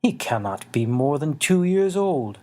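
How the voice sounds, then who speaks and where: A man voicing a huge old wolf creature for a fantasy storybook, grave and weighty, with a rumbling tone. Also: big pitch swings, measured pace, a little mellow. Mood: shocked. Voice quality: deep rumble.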